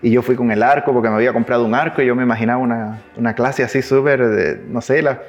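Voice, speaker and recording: A man from Cuba with a Cuban accent touched by Chilean, telling stories in a relaxed interview, with restarts and laughs. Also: medium pitch, fast, dull audio, soft background music. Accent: Cuban Spanish with Chilean traces. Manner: warm anecdotal telling, restarts, laughs at his own stories. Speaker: interviewee, double bass player. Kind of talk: relaxed conversational storytelling.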